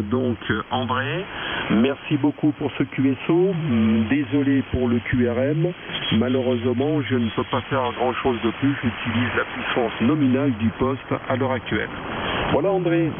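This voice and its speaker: A man from France, chatting as a radio operator in a courteous, wordy way with frequent pauses. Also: medium pitch, noisy background. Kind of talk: radio contact chat. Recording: phone-like shortwave radio, loud interfering voices.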